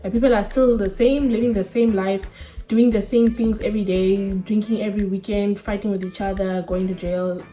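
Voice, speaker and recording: A woman's voice: mid-range voice, steady tone, normal speed, mellow, faint music behind. A woman in her twenties from Namibia, chatting candidly and casually to camera in a sit-down vlog, full of slang. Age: 20s. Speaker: vlogger.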